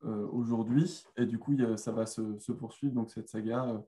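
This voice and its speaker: A man's voice: fairly low pitch, steady tone, normal speed, dark tone. A man hosting and moderating a webinar over a video call, speaking hesitantly with many fillers. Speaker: webinar host.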